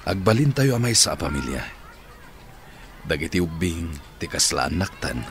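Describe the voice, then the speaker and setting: A man's voice: sits low, very animated, normal speed, a bit bright, slight background noise, heavily acted. A middle-aged man in a radio drama playing an earnest, persuasive man who is courting a woman.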